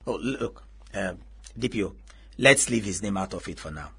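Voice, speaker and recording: An actor with a Nigerian accent playing an earnest, persistent newspaper journalist in a radio drama, speaking persuasively. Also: male voice, sits low, lively intonation, normal speed, creaky, bright, forceful tone, slightly rough, heavily acted. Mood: diplomatic.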